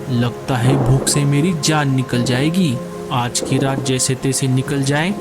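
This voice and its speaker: A man's voice: medium pitch, lightly acted. A man voicing an earnest, fretful male forest bird in a cartoon, exclaiming and thinking aloud. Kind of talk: Hindi cartoon dialogue acting.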